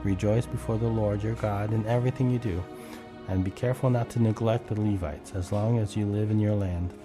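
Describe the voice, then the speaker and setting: A man's voice: on the low side, fairly even intonation, a little fast, music in the background. A man reading Bible text aloud as scripted narration, occasionally stumbling over the words. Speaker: scripture reader.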